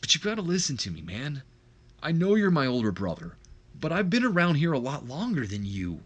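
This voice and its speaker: A man's voice: fairly high pitch, wildly swooping pitch, fairly quick, voice pushed up. A man voicing a stern, hot-headed younger brother wrestler, blunt and emphatic, in acted storyline dialogue. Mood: grudging.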